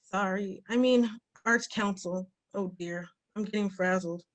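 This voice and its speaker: A woman's voice: medium pitch, a little slow, close to an everyday voice. Woman playing prim, fretful arty orc. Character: fussy, pretentious, easily frazzled arty orc. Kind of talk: fantasy comedy table read.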